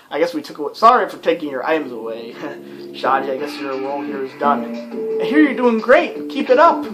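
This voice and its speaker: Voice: medium pitch, sing-song, normal speed, rough recording. A young adult rambling casually as a let's play commentator while playing a video game. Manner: rambling and chatty, with many fillers. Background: game soundtrack music.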